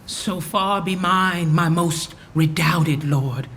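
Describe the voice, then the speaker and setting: A man acting a formal, assertive noble rebel in a Shakespearean radio play, speaking in measured, courtly verse. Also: fairly high pitch, a bit bright, a little hiss or hum. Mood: courtly, firm.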